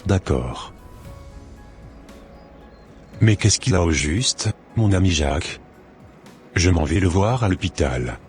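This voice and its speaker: A man's voice: low-pitched, lively intonation, takes it slowly, pauses often, darkish tone, soft background music. A man voicing a stern, devout pastor. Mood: concerned. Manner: solemn, preachy, fervent in prayer.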